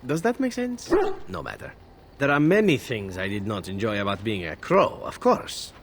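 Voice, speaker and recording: An actor playing a suave, roguish and unrepentant elf assassin in a fantasy video game, with a silky, theatrical delivery. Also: male voice, medium pitch, very animated, normal speed, a little hiss or hum. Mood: proud, relishing.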